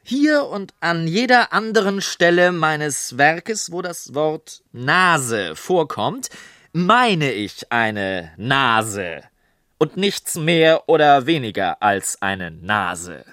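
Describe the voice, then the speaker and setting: Witty gentleman narrator, deliberate and animated. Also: a little high, no echo, clean studio sound, heavily acted. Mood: emphatic, mock-solemn. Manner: theatrical, deliberate, rhetorical. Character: witty, pompous gentleman narrator telling his life story. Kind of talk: literary scripted narration, radio play.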